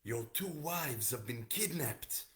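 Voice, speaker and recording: A man's voice: medium pitch, animated, slow pace, fairly bright tone. A man voicing a panicked messenger in a dramatic story, frantic and repeating himself. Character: panicked messenger man bringing terrible news.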